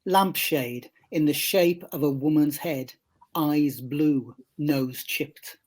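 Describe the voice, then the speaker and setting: A poet reading their poem aloud in a measured, wry way with deliberate phrasing. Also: mid-range voice, darkish tone. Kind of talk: slow poetry reading.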